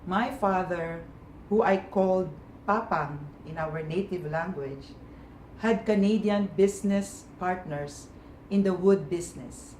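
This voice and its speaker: A woman from the Philippines calmly telling a personal story of her past. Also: on the low side, a little slow. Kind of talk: personal story told to a group. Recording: roomy with faint noise.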